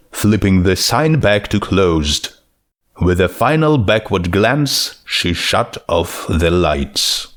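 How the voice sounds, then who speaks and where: A man narrating scripted fiction in a measured, dramatic storytelling manner. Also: fairly low pitch.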